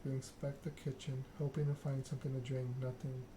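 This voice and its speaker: Guy doing soft asmr game narration, pretty flat tone, careful reading, noisy ambience behind him. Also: mid-range voice, brisk. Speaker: streamer reading a story game aloud. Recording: clearly noisy background ambience.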